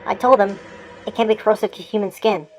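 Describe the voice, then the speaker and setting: A woman's voice: mid-range voice, fairly even intonation, quick pace, a little hiss or hum, lightly acted, low-quality recording. A woman playing a practical, matter-of-fact police forensic officer in an amateur audio drama.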